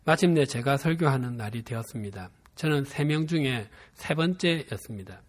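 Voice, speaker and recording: A man in his fifties from Busan with a Gyeongsang dialect accent, preaching a sermon and telling a personal story in a measured, earnest way. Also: mid-range voice, expressive. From Busan, South Korea. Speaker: pastor.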